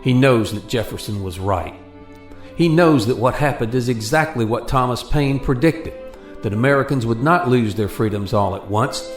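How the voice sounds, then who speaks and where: A man's voice: medium pitch, normal speed. A man hosting a radio program, moving between dramatic storytelling and talk. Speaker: radio show host and narrator. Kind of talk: dramatic narration and radio chat. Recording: roomy echo, music bed.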